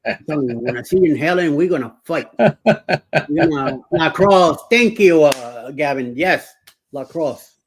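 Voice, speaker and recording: A man in his sixties hosting a relaxed live-stream chat. He wanders through his stories with frequent fillers and self-corrections, laughing easily as he goes. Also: fairly high pitch, normal speed, breathy edge, rough recording. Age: 60s. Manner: rambling, many fillers and restarts, laughs often. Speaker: show host.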